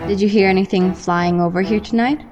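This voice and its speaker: A woman playing a brisk, businesslike police trooper in an amateur radio play. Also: medium pitch, steady tone, darkish tone, lo-fi sound, soft background music, close to an everyday voice. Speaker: student radio play actor.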